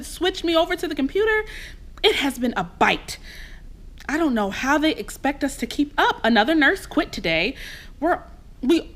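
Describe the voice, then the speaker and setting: A middle-aged working mother played by a woman in a stage reading, weary but warm and wry. Her voice sits high and swings widely in pitch. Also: exaggerated voice. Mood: weary, complaining. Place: theater stage, live reading.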